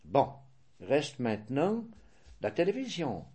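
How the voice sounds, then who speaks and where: An older man with a Swiss French accent answering interview questions in a measured, hesitant way, often restarting and correcting himself. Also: medium pitch, huge pitch swings, mellow, husky.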